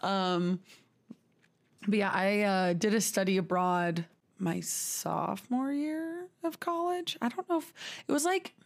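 A woman's voice: mid-range voice, lively intonation, unhurried, gravelly. A woman in her twenties from Texas, co-hosting a podcast in casual chat and laughing often. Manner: giggly, chatty, laughs often. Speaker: podcast co-host.

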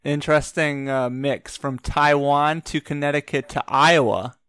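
Guy hosting a podcast, kinda high voice, smooth and crisp, dry close mic, jokey and upbeat. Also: fairly even intonation, a bit bright, dry recording. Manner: upbeat, joking, quick questions. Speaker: podcast host. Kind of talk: casual trivia podcast chat.

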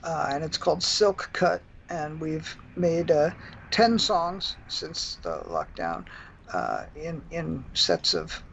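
A man who is a musician guest on a radio show, answering haltingly with many ums. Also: on the high side, big pitch swings, gravelly, a bit bright. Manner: hesitant, halting, full of ums. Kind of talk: casual radio interview answers. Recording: dull lo-fi line with faint noise.

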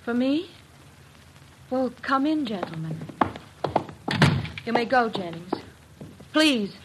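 A woman's voice: fairly high pitch, sing-song, takes it slowly. An actress playing a frightened, pleading young woman in an old-time radio drama. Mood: polite, anxious. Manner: pleading, emotional. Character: frightened, desperate young woman kept in a big house. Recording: noisy vintage radio recording.

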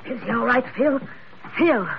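An actress playing a brassy, needy older saloon keeper in an old radio drama, folksy and familiar. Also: female voice, a little high, measured pace, vocal fry. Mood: grieving. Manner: folksy, familiar, calls people honey. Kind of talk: 1940s-50s radio drama acting. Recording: dull, slightly noisy vintage radio recording.

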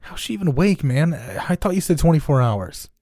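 A man's voice: low voice, expressive, brisk, warm tone, breathy edge, no echo, studio quality, full character voice. A man voicing a nervous young male accomplice who stammers and pleads. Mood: anxious.

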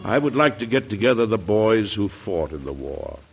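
An actor playing a weary, idealistic American President with emphatic oratory and deliberate pauses, in an old radio drama. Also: male voice, lowish voice, very animated, vocal fry, loud music behind the voice. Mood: impassioned. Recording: dull, hissy old radio recording.

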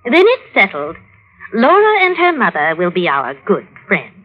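An actress playing a genteel, serenely composed and politely bossy middle-aged wife in an old-time radio drama. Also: female voice, mid-range voice, huge pitch swings, normal speed, husky. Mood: gracious, composed. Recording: dull vintage radio recording.

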